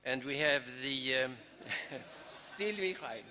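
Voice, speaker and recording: A man opens a conference and welcomes the guests, pausing often and reaching for names. His voice is bright and carries a long reverb from a big hall. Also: medium pitch, lively intonation. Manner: halting, many fillers, searches for names. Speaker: event moderator.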